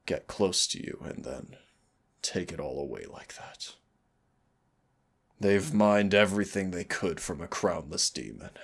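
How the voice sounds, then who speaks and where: A man voicing a brooding demon prince who is tender toward his hunter lover, gravelly and husky, slow and intimate in a romantic audio roleplay. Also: low voice, heavily acted. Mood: sorrowful, tender. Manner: slow, dramatic, intimate delivery. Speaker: voice actor.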